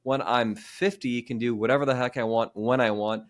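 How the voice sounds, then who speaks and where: A man in his thirties hosting a podcast, chatting casually in a quick, rambling way with many restarts. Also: mid-range voice, fairly even intonation. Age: 30s. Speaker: podcast host. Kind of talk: casual interview chat.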